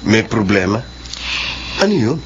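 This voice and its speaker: An actor playing an earnest young boyfriend who asks anxious questions. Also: male voice, lowish voice, big pitch swings, a little slow. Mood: worried. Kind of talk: radio drama acting. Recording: dull lo-fi sound with faint noise.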